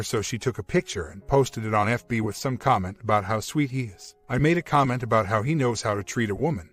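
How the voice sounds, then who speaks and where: A man reading a first-person personal story aloud as scripted narration. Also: medium pitch. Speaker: narrator reading online relationship stories.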